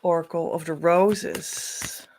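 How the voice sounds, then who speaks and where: A middle-aged Dutch-native woman speaks slowly and thoughtfully into a close webcam, giving a spiritual card reading. Her voice sits a little low, and she lets it drift between soft asides and louder emphasis. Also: fairly even intonation. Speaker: spiritual coach. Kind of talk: oracle card reading to camera.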